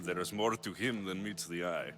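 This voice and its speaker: An actor playing a confident, wry mercenary warrior hero, blunt and dry, in a video game cutscene. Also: male voice, sits low, normal speed, faint music behind, lightly acted. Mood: wry, relaxed.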